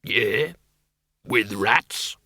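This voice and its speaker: A man voicing a huge, hairy, cheerful bogeyman in a slow, matey, eager manner for a storybook. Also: on the low side, animated, bright, forceful tone, dry recording, clean studio sound, exaggerated voice. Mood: curious. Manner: slow, friendly, matey delivery.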